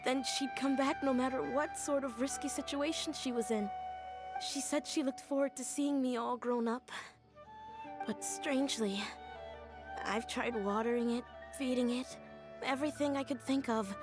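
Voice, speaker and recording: Game role: woman playing earnest, hesitant young female student, slightly high voice, music underneath. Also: normal speed, close to an everyday voice. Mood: wistful, puzzled. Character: earnest young woman, grieving student left by her teacher. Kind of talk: English video game voice acting. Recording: music behind the voice. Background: background music.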